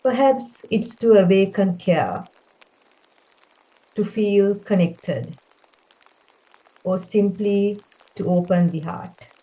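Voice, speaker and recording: A woman leading a guided meditation, speaking in a gentle, calming way with unhurried phrasing. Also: medium pitch, poor audio.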